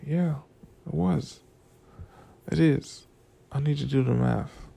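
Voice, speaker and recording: A man's voice: on the high side, slow pace, vocal fry, warm tone, husky. A young man from Florida with a groggy morning voice, rambling casually and profanely about work and money. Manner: loose, profane, drifts between stories and life lessons. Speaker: vlogger.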